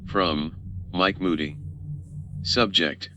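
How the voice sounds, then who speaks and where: A man's voice: low-pitched, steady tone, very slow, bright, forceful tone. A man reading a scripted announcement in the style of an announcer for a fictional social network. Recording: dull lo-fi sound, noisy background.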